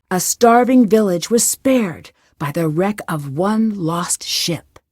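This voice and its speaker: A woman playing a self-possessed young female detective in a video game, speaking in a composed, clearly enunciated way. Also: medium pitch, a little mellow, no room sound, clean studio sound.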